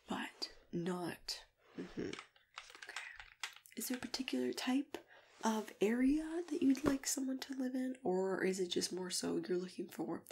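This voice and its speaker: Hushed, crackly-voiced woman doing ASMR roleplay. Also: medium pitch, vocal fry. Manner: gentle, hushed, unhurried, drifting into whispers. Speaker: ASMR roleplay host playing a matchmaker. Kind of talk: ASMR roleplay, soft-spoken chat with whispering. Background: computer keyboard typing and clicking.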